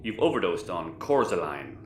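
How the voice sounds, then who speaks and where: Weary formal crewmate, slightly deep, deadpan, noisy roomy sound, audio comedy. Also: male voice, moderate pace. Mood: matter-of-fact. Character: dry, weary male crewmate, formal and exasperated. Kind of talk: sci-fi audio comedy acting. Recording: roomy echo, clear background noise.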